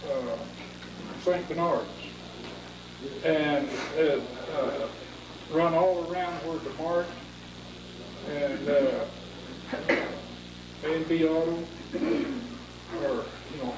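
A man on a panel telling a halting story, full of pauses and fillers. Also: fairly high pitch. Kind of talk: casual storytelling. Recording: echoey room from far off with background noise.

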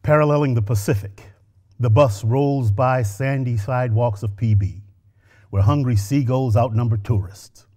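Middle-aged male actor, on-stage story reading at a lectern, measured and expressive. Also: medium pitch. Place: stage with orange curtains. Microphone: lectern microphone.

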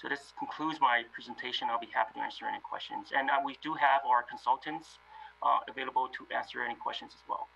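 A man, a city finance director, presenting a staff report in a remote video meeting, measured and full of fillers. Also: mid-range voice, steady tone, vocal fry, bright, forceful tone, highs cut off, slight background noise.

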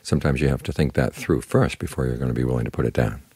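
A man giving a short spoken meditation talk as a Buddhist teacher, calm, instructive and plain-spoken. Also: very deep voice, quick pace, slightly rough, no echo.

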